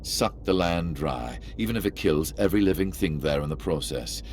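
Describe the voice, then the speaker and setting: An actor playing a sly, cornered arms dealer in a video game, smug and menacing, with a drawling, theatrical delivery. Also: male voice, low-pitched, expressive, normal speed, darkish tone, slight background noise. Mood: ominous, smug. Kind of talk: video game cutscene acting. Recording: music behind the voice.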